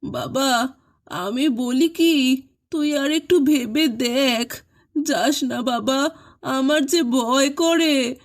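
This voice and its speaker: Woman acting a slow-spoken, anxious elderly mother. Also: sits high, heavily acted. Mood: fearful, pleading. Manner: pleading, soothing, slow. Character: anxious, tender elderly mother of a grown man. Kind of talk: animated horror story voice acting.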